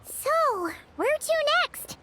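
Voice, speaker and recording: A woman voicing a tiny chirpy floating fairy girl in a video game, with a squeaky, childlike, inquisitive delivery. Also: very slow, exaggerated voice. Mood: curious, excited. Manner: excitable, exclamatory, inquisitive. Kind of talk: cartoonish video game voice acting. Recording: music behind the voice.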